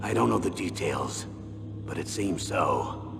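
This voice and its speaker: Weary older colonel in a video game, deep gravelly voice, stern and deliberate, big reverb. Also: male voice, expressive, heavily acted. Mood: firm. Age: middle-aged. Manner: grave, deliberate briefing delivery. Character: ageing male army colonel, stern, persuasive, weary. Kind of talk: video game cutscene voice acting. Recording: long reverb, clear background noise.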